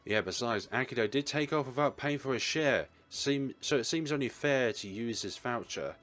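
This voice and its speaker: A man's voice: medium pitch, expressive, normal speed, a bit bright, soft background music. A man doing a let's play, casually reading visual novel narration and dialogue aloud with a teasing touch.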